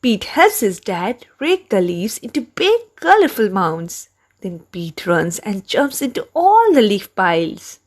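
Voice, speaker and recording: A woman reading a children's storybook aloud in an expressive, deliberate way meant for young listeners. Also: mid-range voice. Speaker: children's book reader.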